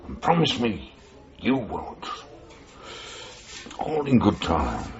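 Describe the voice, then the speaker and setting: An actor playing a sly, self-satisfied British freelance journalist in a 1980s radio drama, evasive in manner. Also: male voice, low-pitched, huge pitch swings, slow pace, vocal fry, crowd noise, heavily acted. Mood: guarded, cagey. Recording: dull, band-limited radio broadcast.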